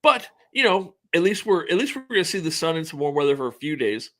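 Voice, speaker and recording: A man co-hosting a sports talk show, talking casually and quickly, often repeating how he starts a sentence. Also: fairly high pitch. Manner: quick, relaxed, repeats openings.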